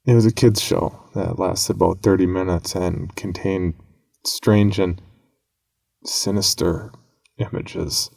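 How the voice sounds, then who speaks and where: A man narrating a scripted horror story in a grave, measured manner with dramatic pauses. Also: deep voice, steady tone, vocal fry, a little mellow, no echo, poor audio.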